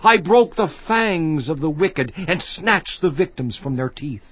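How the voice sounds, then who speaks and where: An actor playing a suffering, once-honored biblical patriarch in a dramatized scripture monologue, theatrical and declamatory with long pauses. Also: male voice, on the high side, animated, breathy edge, dull audio. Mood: proud, wistful remembrance. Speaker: actor in a dramatized audio Bible.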